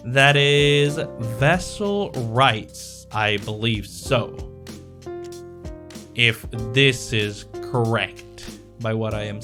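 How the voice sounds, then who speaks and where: A man hosting his audio channel, chatting casually to viewers in a rambling, self-interrupting way. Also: mid-range voice. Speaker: audio roleplay creator and channel host.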